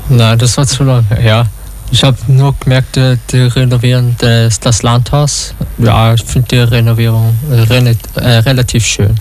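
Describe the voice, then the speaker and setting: Young man, short plain answers, flat monotone delivery. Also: medium pitch, moderate pace. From Austria. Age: young adult. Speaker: radio guest. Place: radio studio.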